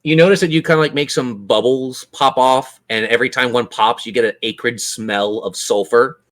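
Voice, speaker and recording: A man's voice: mid-range voice, normal speed, fairly bright tone. A man acting as game master, narrating vividly and ruling casually on a tabletop game. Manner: descriptive, vivid monster narration.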